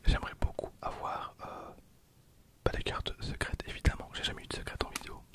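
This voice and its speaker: A man's voice: brisk, fairly bright tone. Whispering young man, casual ASMR. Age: 20s. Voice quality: breathy unvoiced whisper. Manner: informal, murmured running commentary. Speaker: ASMR video creator. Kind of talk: whispered ASMR with casual commentary on trading cards.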